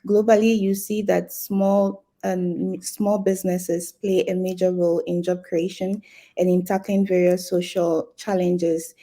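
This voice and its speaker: A woman from Ghana speaking on a business panel, explaining her points in a measured way with frequent fillers. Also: lowish voice, level tone, dark tone. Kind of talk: panel discussion.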